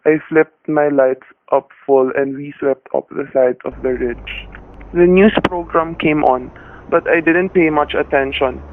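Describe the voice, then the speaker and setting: An actor playing a plainspoken middle-aged husband who narrates a suspense story in old-time radio style, with a folksy, colloquial manner. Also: male voice, on the high side, fairly even intonation, measured pace, narrow, tinny audio, slight background noise, close to an everyday voice. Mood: tense narration. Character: weary, plainspoken middle-aged husband telling his ordeal. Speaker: narrator and lead character. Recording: dry, band-limited old radio recording.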